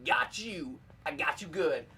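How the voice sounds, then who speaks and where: A young man hosting a casual game livestream, goofy and excitable, breaking into shouts and playful sing-song bits. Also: on the high side, measured pace. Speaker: host. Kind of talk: casual let's-play chat.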